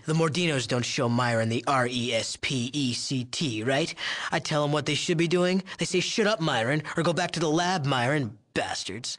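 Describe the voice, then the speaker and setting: A man's voice: on the high side, fairly bright tone. An American man playing a cocky, sleazy young genius drug chemist in a video game, boastful and crude, with a smarmy wise-guy way of talking. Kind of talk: video game character dialogue.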